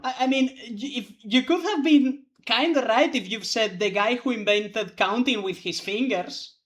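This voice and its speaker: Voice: mid-range voice, moderate pace, a bit bright. A young adult law student chatting casually, rambling and hedging with lots of fillers. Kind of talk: casual chat among players.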